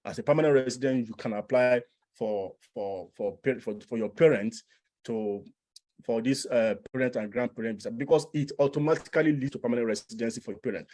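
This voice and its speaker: A man's voice: mid-range voice, dark tone. Nigerian man giving an informal explanatory talk. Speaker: immigration coach and presenter. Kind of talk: informal explanatory presentation to an audience.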